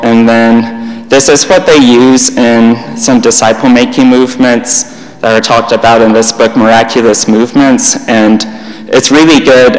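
A young man speaking in a self-described monotone, giving a plain, unhurried church teaching talk with pauses and restarts. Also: medium pitch. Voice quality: monotone delivery. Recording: long hall reverb.

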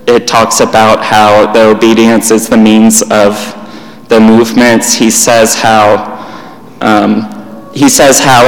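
A young man speaking in a self-described monotone, giving a plain, unhurried church teaching talk with pauses and restarts. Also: medium pitch. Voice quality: monotone delivery. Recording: long hall reverb.

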